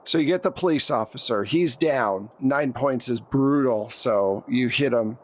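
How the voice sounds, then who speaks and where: A man's voice: mid-range voice, measured pace. A man acting as game master, narrating action and calling for rolls in a conversational way. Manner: conversational, narrates action in plain second person. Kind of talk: running a tabletop roleplaying game.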